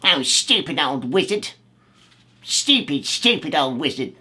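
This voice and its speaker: Storybook miser, high-pitched, slow, whiny, stammering, mean-spirited, very animated. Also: male voice, slightly rough, full character voice. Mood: grumpy, dismissive.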